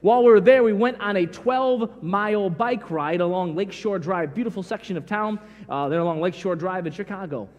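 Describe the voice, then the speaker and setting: High-voiced pastor preaching in a church. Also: male voice, a little fast, few pauses, highs cut off. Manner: folksy, animated storytelling, acts out dialogue. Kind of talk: sermon. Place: large church sanctuary. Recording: long reverb of church hall.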